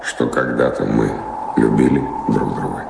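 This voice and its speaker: An actor playing a world-weary wandering former lover in an audio play, gravelly and brooding, with deliberate phrasing. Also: male voice, a bass voice, exaggerated voice. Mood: wistful, reflective. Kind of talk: audio play stage acting. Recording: echoey, noisy old radio-play recording.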